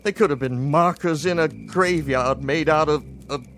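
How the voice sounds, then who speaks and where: A man's voice: fairly high pitch, fairly bright tone, close to an everyday voice. A man playing a shaken soldier. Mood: shaken awe. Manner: halting, awed storytelling. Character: shaken Confederate soldier, middle-aged man. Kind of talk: old-time radio drama acting. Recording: old radio recording with music.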